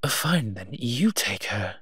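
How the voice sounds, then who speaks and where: A man playing a wry, teasing monster who partners a human detective in a roleplay audio drama, speaking with hums and pauses. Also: medium pitch, big pitch swings, bright, forceful tone, husky, no room sound, studio quality, full character voice. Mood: smug, amused.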